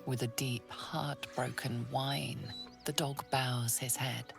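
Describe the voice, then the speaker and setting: An actress narrating a fantasy game in a measured, solemn, suspenseful storytelling manner, with breathy phrase endings. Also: female voice, very deep voice, little pitch movement, heavily acted. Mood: solemn, hushed. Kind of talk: dramatic scripted second-person narration. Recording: studio voice over background music.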